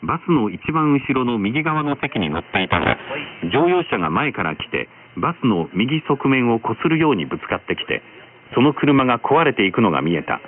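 Male radio news announcer, formal scripted read, very lively intonation, thin shortwave sound with static. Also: medium pitch. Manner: formal, measured delivery. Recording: noisy, narrow-band shortwave radio reception. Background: radio static and noise.

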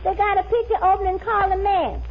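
A woman's voice: very high-pitched, quick pace. A woman playing a flighty, naive, man-hungry friend in a 1950s radio comedy, gushing and plaintive. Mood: pleading, gushing. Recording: muffled, noisy 1950 radio recording.

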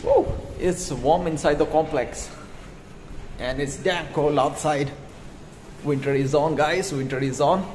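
A chatty man in his thirties talks straight to his viewers on a phone held at arm's length. His voice sits a little high and stays upbeat. Clear background noise runs under the phone audio. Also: measured pace, darkish tone. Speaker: vlogger. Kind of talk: casual vlog to camera. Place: streets, stairwell and gym at dawn, then a bedroom.

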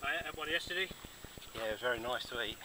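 A man's voice: mid-range voice, animated, fast talker, far from the mic, noisy background. A man chatting casually and swearing in a matey way while fishing outdoors, recorded on a handheld camera. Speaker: amateur angler on holiday. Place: outdoors on a seaside road bridge and beach.

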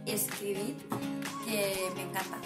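A woman's voice: mid-range voice, level tone, slow, loud music behind the voice. A young woman with a Castilian Spanish accent chatting casually and rambling informally to the camera as a book vlogger. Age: young adult. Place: small indoor room at home. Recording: echoey room, clear background noise.

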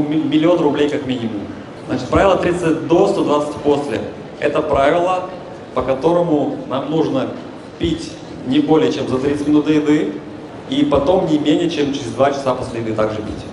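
A man giving an informal, explanatory health lecture from a stage, talking colloquially and putting questions to his listeners. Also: medium pitch. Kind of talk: informal public lecture to an audience. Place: stage in a large exhibition hall, recorded from the audience. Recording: echoey, noisy expo hall through a PA.

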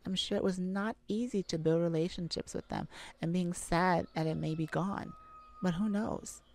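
A woman playing a caring, affectionate mage gardener in a gentle ASMR roleplay audio drama. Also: fairly low pitch, fairly even intonation, moderate pace, vocal fry. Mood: reassuring, proud. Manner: affectionate, gentle, full of endearments. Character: tender, caring mage gardener devoted to their werewolf mate.

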